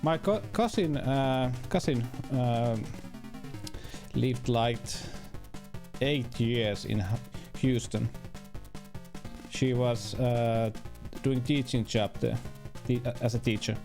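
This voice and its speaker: Slow-talking Finnish man streaming over game music. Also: mid-range voice, creaky. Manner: halting, searching for words, muttering reactions. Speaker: video game livestreamer. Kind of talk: casual livestream commentary while gaming.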